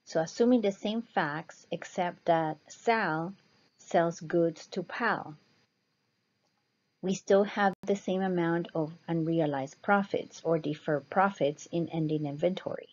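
A woman instructor explaining slides step by step in a measured lecture style. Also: fairly low pitch, a little mellow. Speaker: accounting instructor. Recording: dull, muffled lo-fi voice-over.

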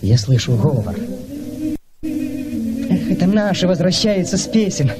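An actor playing a lovestruck young Cossack lad in a radio play, telling tales expressively with dramatic suspense. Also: male voice, sits high, unhurried, heavy background noise, exaggerated voice. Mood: wry, mysterious. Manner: expressive, hushed suspense rising to excitement.

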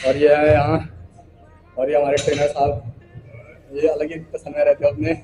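A man's voice: on the high side, completely flat delivery, moderate pace, music in the background, low-quality recording. A young man chatting casually and slangily in a gym vlog, rambling loosely with joking banter. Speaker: gym vlogger. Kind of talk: casual vlog chat, teasing banter with friends.

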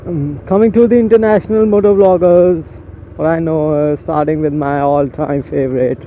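A man's voice: on the high side, steady tone, normal speed. A young man, a motovlogger chatting casually and rambling while riding a motorcycle through city streets. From India. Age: young adult. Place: on a motorcycle on city roads outdoors. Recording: muffled helmet mic with traffic noise.

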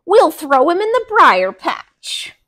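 A woman voicing a scheming, gloating male fox from a storybook, loud and eager. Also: extremely high pitch, sing-song, slow, pitched above the performer's own voice, exaggerated voice.

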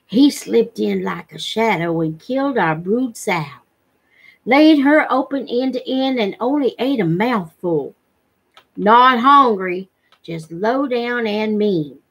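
A woman voicing a mild backwoods hunter father telling tales in a folksy, unhurried way for a novel reading. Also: a little high, lively intonation, husky, full character voice, poor audio. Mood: spellbinding, grave.

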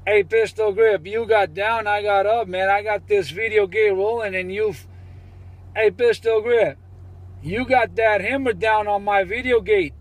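A man's voice: high-pitched, fairly even intonation, measured pace, dry recording, low-quality recording. A man bantering boastfully as a CB radio operator, repeating his catchphrases.

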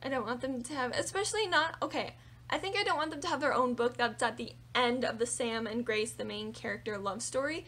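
A young woman book vlogger chatting casually and animatedly about her reading, her voice a little raspy from singing. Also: a little high, a little fast. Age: young adult. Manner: animated, chatty, lots of fillers and hand gestures. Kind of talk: casual monthly reading wrap-up. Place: small room with bookshelves indoors.

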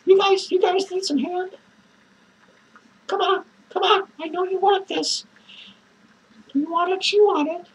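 Woman playing a shrill unhinged drunk clown. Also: steady tone, measured pace, pauses often, slight background noise, poor audio. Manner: manic cackling, swearing, lurching between shouts and mutters. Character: unhinged drunk male clown, crude and rambling. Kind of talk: improvised character monologue to viewers.

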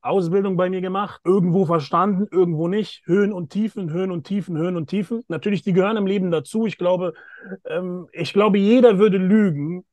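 A man's voice: high-pitched, fairly even intonation, a little fast, a little mellow, no echo, lo-fi sound. A man in his thirties hosting a video-call interview outdoors, talking emphatically and repeating phrases for stress. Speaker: host. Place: outdoors by a pool with greenery. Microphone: phone or laptop camera, video call.

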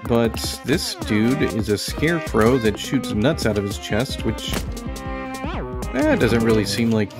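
A man giving casual let's play commentary as a game streamer, thinking aloud in a halting way. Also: mid-range voice, sing-song. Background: game music.

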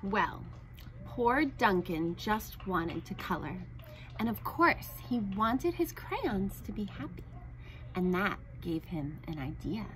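A woman's voice: mid-range voice, very animated, takes it slowly. A middle-aged woman narrating a children's storybook aloud. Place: indoor school room. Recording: faint room noise.

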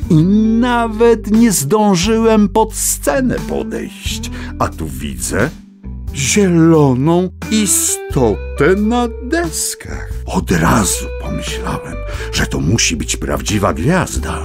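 An actor playing a whimsical storyteller, narrating a children's audio fable with dramatic, savoring pauses. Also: male voice, on the high side, huge pitch swings, over music, exaggerated voice. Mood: wonder, storytelling.

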